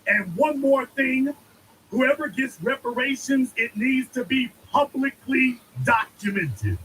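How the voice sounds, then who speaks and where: A man's voice: very high-pitched, expressive, slow, fairly bright tone, a little hiss or hum, poor audio. A man giving an emphatic political monologue, preaching and repeating lines for effect. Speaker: commentator.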